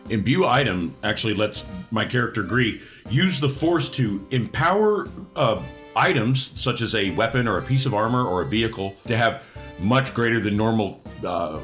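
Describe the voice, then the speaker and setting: Lively, low-pitched male gamer. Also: moderate pace, faint music behind. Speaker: tabletop game player. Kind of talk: casual game chat.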